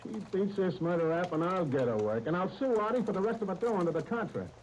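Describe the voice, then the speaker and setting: A man's voice: high-pitched, animated, fast. An actor playing a loyal, streetwise show-business agent in a 1930s radio drama, talking in insistent wise-guy slang. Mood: insistent, reassuring. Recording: old 1930s radio disc, crackling noise.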